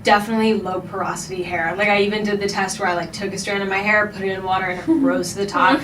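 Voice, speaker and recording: A woman's voice: mid-range voice, quick pace, echoey room, distant mic, noisy background. A woman of twenty-one, a podcast guest talking casually and a little hesitantly, thinking aloud. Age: young adult. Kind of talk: casual chat.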